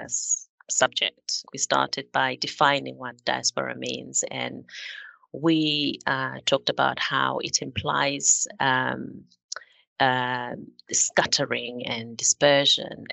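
Bright, gravelly-voiced woman hosting a panel. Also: very deep voice, dry recording. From Zimbabwe. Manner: measured, with frequent fillers. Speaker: podcast host.

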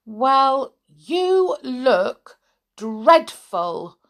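A woman's voice: sits high, sing-song, extremely slow, a bit bright, pitched above the performer's own voice, heavily acted. A woman doing a prim scolding teddy bear. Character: fussy male teddy bear toy. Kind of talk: storybook reading.

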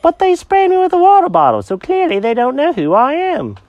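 A storyteller voicing an excitable, scatterbrained male pet cat who swoops through exclamations and questions. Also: sits high, a little fast, no echo, lo-fi sound, pitched above the performer's own voice. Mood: indignant.

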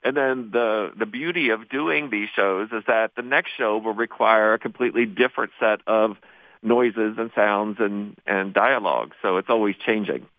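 A man, a high school theater teacher, chatting casually as a radio guest in an easygoing, rambling way. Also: normal speed, dry recording. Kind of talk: casual radio interview chat. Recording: dry, narrow phone-like line.

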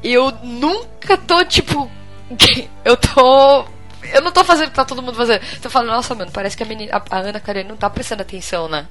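A Brazilian woman co-hosting a podcast, chatting casually in a blunt, expressive and colloquial way. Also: on the high side, fast, faint music behind. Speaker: podcast co-host. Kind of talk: casual group chat.

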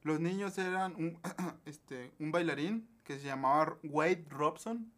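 A young man with a Mexican Spanish accent talks in a loose, meandering way, often pausing on fillers and restarting his sentences. His voice sits a little on the high side. It has the easy feel of a casual podcast conversation. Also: a bit bright. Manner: rambling, full of fillers and self-corrections. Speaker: podcast co-host. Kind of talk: casual chat.

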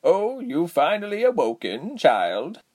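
A man voicing a weary, grumbling but caring old woman with a raspy voice in a storybook reading. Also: high-pitched, wildly swooping pitch, takes it slowly, a little mellow, voice pushed up, exaggerated voice. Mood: grumbling, defensive.

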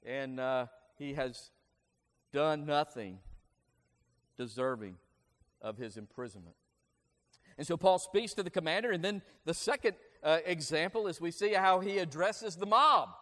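A man's voice: fairly high pitch, expressive, measured pace, long pauses, sharp tone. A male preacher giving an earnest, explanatory Bible sermon, with frequent fillers. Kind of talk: expository sermon. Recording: long hall reverb.

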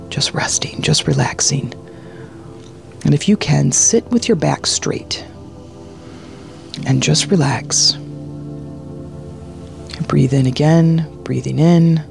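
A meditation guide speaking in a soothing, hushed manner, leading a guided relaxation. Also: medium pitch, vocal fry. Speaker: meditation guide, podcast host.

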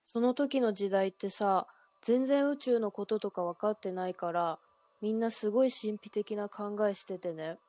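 A woman's voice: medium pitch, moderate pace, a little mellow, no room sound, close to an everyday voice. A woman performing in an amateur radio drama as a young woman casually telling friends an urban legend. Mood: chatty.

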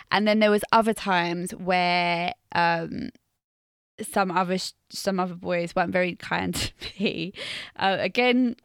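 A woman's voice: medium pitch, a bit bright, clean tone, no room sound. Chatty woman from Wales, dry close mic. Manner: chatty, laughs often, self-mocking storytelling. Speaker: podcast co-host, teacher. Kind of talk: casual chat.

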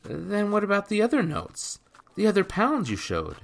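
A man's voice: high voice, huge pitch swings, moderate pace, creaky, voice pushed up, full character voice. A man voicing a young boy who questions his stepfather doubtfully.

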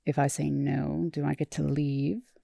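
Woman voicing a deadpan, sneering robot, low and gravelly, slow drawl. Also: no room sound, studio quality, full character voice. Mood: sulky. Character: sulky, sneering male robot jet.

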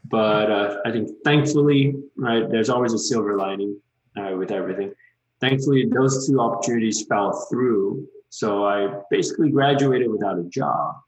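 A man in his thirties from Malaysia, a guest in an interview, talking casually and relaxed with many "um" and "you know" fillers. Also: lowish voice, normal speed, reverberant, slight background noise, poor audio.

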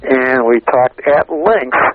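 An American man telling casual anecdotes in a halting, meandering way, repeating phrases as he goes. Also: medium pitch, lively intonation, dry recording. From United States, Chicago area. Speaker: radio interviewer, guest recalling past interviews. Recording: lo-fi narrow radio or phone sound.

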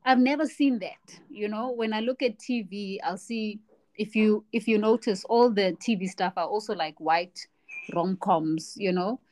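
A woman's voice: medium pitch, measured pace, a little mellow. A young woman from Africa, co-hosting a casual podcast chat, talking reflectively and searching for words with frequent restarts. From South Africa. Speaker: podcast co-host.

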